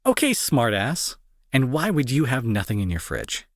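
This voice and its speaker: A man acting in an intimate audio roleplay as a gruff young bully who is secretly worried and protective, talking to the listener with sarcasm and exasperation. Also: medium pitch, very animated, normal speed, dry recording, clean studio sound, natural voice. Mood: exasperated, scolding.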